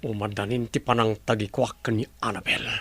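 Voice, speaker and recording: A man's voice: fairly low pitch, level tone, brisk, fairly bright tone, husky, no echo. A man playing a scheming villain in a radio drama, sly and gloating.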